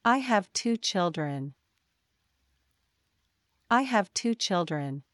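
A woman reading simple example sentences for learners, slowly and deliberately, saying each one twice. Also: mid-range voice, lively intonation, no echo. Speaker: English teacher.

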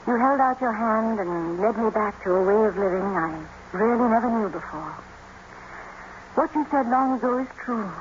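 A woman's voice: mid-range voice, natural voice. Casting: actress for impassioned young lady of the manor, haunted and earnest, mid-century radio drama, dull noisy broadcast sound. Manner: theatrical, intense. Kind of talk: 1940s-50s radio drama acting.

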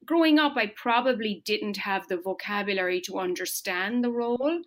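A middle-aged woman speaks as an interview guest, her voice at an ordinary pitch. She talks in a measured, articulate and polished way. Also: a bit bright. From Ireland. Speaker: marketing executive guest. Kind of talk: podcast interview.

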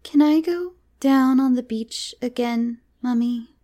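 A woman's voice: high voice, takes it slowly, mellow, voice pushed up. A woman voicing a small, earnest little girl who is innocent and insistent, in a story reading. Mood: earnest pleading.

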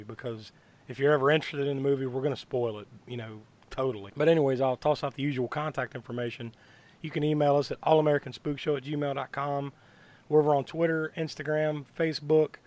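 A man hosting a horror movie podcast, hoarse from bronchitis, talking in a rambling way with many fillers and restarts. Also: medium pitch, darkish tone. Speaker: podcast host. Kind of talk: casual podcast chat.

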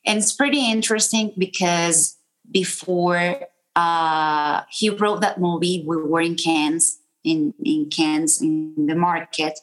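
Woman, from Venezuela, low voice, animated storyteller. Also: takes it slowly, low-quality recording. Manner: animated storyteller, acts out quoted people. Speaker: film producer, guest. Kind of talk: casual interview storytelling.

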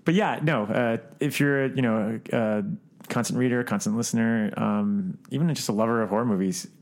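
A man co-hosting a podcast in casual chat, with a halting, rambling way of talking. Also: mid-range voice. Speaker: podcast co-host. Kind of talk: casual chat about books, films and collectibles.

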